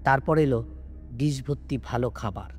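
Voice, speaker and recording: A man telling a scripted ghost story in an expressive, literary storytelling manner. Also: mid-range voice, moderate pace, breathy edge. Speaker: storyteller, audio story narrator. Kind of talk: dramatic scripted narration of a Bengali ghost story. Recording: music bed under the narration. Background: background music.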